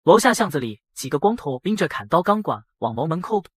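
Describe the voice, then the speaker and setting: A man voicing a brash young factory boss who narrates his own dramatic motion-comic story in a husky voice. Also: fairly high pitch, very animated, a little fast, dry recording, clean studio sound. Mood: dramatic narrating. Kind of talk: dramatic first-person scripted narration.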